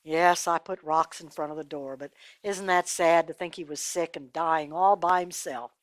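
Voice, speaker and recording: A woman's voice: low voice, fairly even intonation, moderate pace, no echo, pitched below the performer's own voice, natural voice. Lady doing a kindly servant man full of regret, plain talk, sad, storytelling, dry sound. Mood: regretful, reproachful. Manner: sorrowful, plain-spoken. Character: regretful, kind-hearted male servant mourning a beggar. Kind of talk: oral Bible storytelling.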